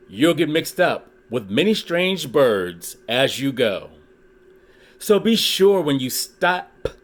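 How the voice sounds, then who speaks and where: Slow, animated man reading a storybook. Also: mid-range voice. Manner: deliberate, dramatic phrasing with pauses for emphasis. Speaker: author reading a children's book aloud for a video channel. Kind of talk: expressive storybook read-aloud in rhyme.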